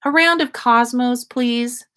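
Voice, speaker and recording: A woman's voice: on the high side, takes it slowly, bright, forceful tone, pitched above the performer's own voice. A woman voicing a sober, sensible young man in a story, emphatic and a little grumbling. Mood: matter-of-fact.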